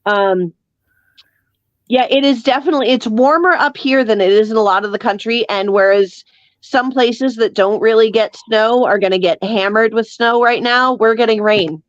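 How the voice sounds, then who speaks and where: A woman's voice: on the high side, moderate pace, no room sound. An American woman chatting casually as a guest on a livestream, heard through dull lo-fi call audio. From United States. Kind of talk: casual chat.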